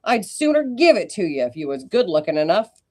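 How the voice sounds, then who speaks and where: A woman voicing a brash old male street auctioneer who teases the crowd in loud, rising sales patter. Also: fairly low pitch, sing-song, brisk. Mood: hawking.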